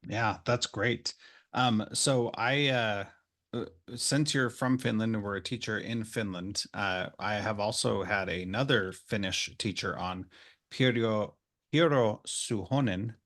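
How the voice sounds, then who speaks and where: A man hosting an education podcast, chatting casually and warmly with his guest, with plenty of fillers. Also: fairly low pitch, unhurried. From United States. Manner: friendly, chatty, with many fillers. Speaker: podcast host. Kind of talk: casual interview chat.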